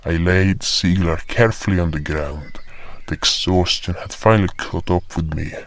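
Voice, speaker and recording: A man's voice: deep voice, moderate pace, slight background noise. A man playing a solemn creature who narrates his own tale in a scripted fantasy audio drama.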